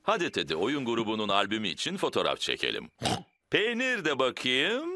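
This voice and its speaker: Animated cartoon daddy pig. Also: male voice, mid-range voice, moderate pace, no room sound, full character voice. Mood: cheerful. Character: cheerful, reassuring cartoon father pig. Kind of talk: children's cartoon dubbing. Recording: music behind the voice.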